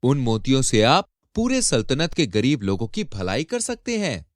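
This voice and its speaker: A man's voice: mid-range voice, big pitch swings, moderate pace, no room sound, studio quality, pitched above the performer's own voice, lightly acted. A man voicing a clever, respectful royal courtier in a storybook tale, with a courteous, persuasive manner. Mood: sly, respectful. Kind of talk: animated Hindi storybook acting.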